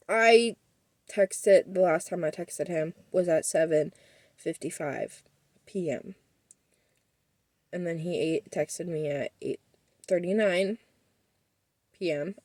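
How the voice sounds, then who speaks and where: A woman's voice: lowish voice, a little mellow, no echo. A young woman telling a personal story as a vlogger, rambling and defensive with many fillers, sniffling.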